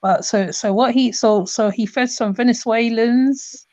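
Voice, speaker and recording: A woman's voice: a little high, measured pace, a little mellow, no room sound. A woman from Trinidad, chatting casually and rambling with many restarts and fillers. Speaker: host. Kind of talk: casual chat. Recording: dull lo-fi sound.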